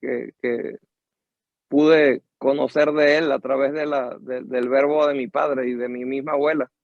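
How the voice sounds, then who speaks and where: An older man with a Venezuelan accent, a musician and teacher telling his life story in an interview, measured and reflective. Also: medium pitch, little pitch movement, dry recording, dull audio. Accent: Venezuelan Spanish.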